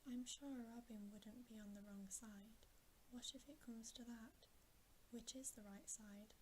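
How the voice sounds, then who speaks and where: A woman voicing a suspicious, sullen schoolboy from a storybook in a hushed, grudging whisper. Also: fairly high pitch, fairly even intonation, measured pace, close to an everyday voice. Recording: faint background hiss.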